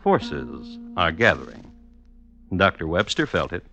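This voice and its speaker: A wry storyteller in an old radio crime drama, with a low, theatrical voice that swings widely for ironic effect. Music plays underneath his deliberate narration. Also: big pitch swings, creaky, highs cut off, full character voice. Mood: ominous, wry. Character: wry, knowing male narrator of a true-crime tale.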